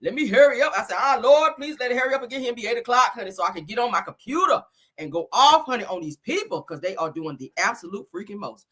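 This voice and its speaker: Sassy woman host, sick and hoarse. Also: fairly high pitch, lively intonation, fast. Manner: loud, sassy, calls viewers honey and baby. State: sick, voice deeper than usual. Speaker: host of a celebrity gossip show. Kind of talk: animated solo commentary.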